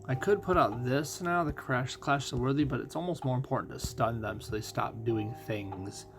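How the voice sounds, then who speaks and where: Gravelly-voiced man commentating on a game. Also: medium pitch, normal speed, background noise. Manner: thinks out loud, asking and answering own questions. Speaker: host of a mobile puzzle game playthrough. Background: music.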